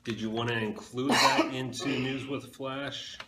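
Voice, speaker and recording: Slow, low-voiced man in an echoey room. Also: fairly even intonation. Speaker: show co-host. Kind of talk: casual planning chat. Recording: echoey room, constant noise.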